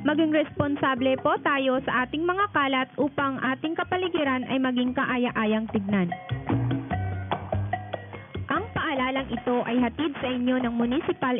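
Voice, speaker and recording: High-voiced Filipina announcer on tinny radio. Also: female voice. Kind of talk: scripted public service announcement. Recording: tinny phone-like radio aircheck, music behind.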